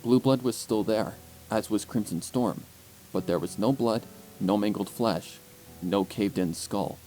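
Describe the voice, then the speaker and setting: Male audiobook narrator, fairly low pitch, theatrical dramatic read with music underneath. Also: measured pace, mellow, rough recording. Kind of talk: dramatic scripted story narration.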